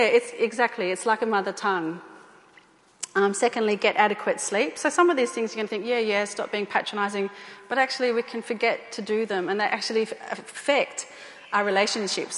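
A woman's voice: medium pitch, fairly quick, a bit bright, slight background noise. A woman giving an informal talk to a church group, speaking conversationally with fillers and repeated phrases. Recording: echoey hall.

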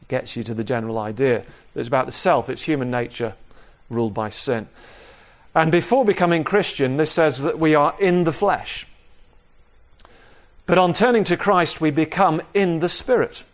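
A man preaching an expository sermon in a measured, explanatory manner, repeating key phrases for emphasis. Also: fairly high pitch. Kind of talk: sermon, expository Bible teaching.

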